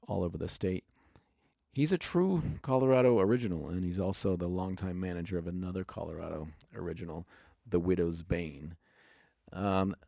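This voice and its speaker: A man with a deep, gravelly voice hosts a music podcast. He talks in a loose, casual way and has an audible stutter, with restarts and fillers. The recording is close and dry. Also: mellow, dry recording. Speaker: podcast host.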